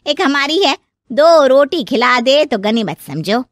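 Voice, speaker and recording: An older, gossipy aunt-in-law acted by a woman from a cartoon family story. She is effusive and bossy, exclaiming in a high voice with a dry, close sound. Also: very animated, a little slow, no echo. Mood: gushing.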